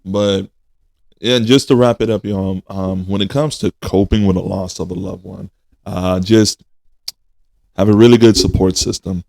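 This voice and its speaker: A man in his twenties hosting a casual podcast, talking in a slow, reflective way and addressing listeners as y'all. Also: deep voice, fairly even intonation, gravelly, darkish tone, no room sound. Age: 20s.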